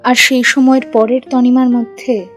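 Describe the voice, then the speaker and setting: Female storyteller, somewhat high pitch, scripted narration, soft music bed. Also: level tone, normal speed. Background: background music.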